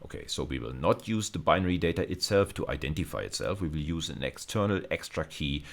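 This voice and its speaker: A man's voice: a bass voice, animated, a little fast, vocal fry. A man giving an explanatory technical lecture as a university teacher, working step by step with hesitant repetitions.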